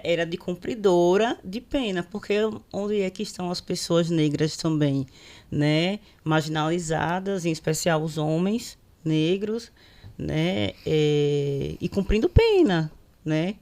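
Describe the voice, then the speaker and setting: A woman in her thirties from Sergipe, with a Sergipe Brazilian accent, telling stories in an unhurried, conversational way as a guest on a videocast, often tagging her phrases with a questioning aside. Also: sits low. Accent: Sergipe Brazilian Portuguese. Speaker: public servant guest on a videocast. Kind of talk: conversational storytelling in a panel discussion.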